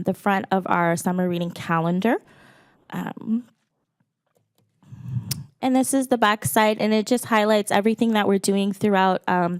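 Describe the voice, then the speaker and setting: A woman's voice: medium pitch, darkish tone, clean tone, no echo, studio quality. A woman library supervisor with a scratchy voice, giving a report at a public meeting with frequent ums.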